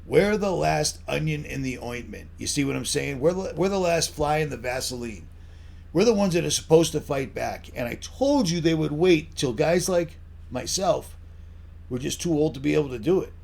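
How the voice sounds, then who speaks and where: A man's voice: on the high side, animated, normal speed, creaky, a little mellow. A middle-aged American man giving an off-the-cuff solo commentary, blunt and insistent, often putting rhetorical questions to the listener. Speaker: online channel host.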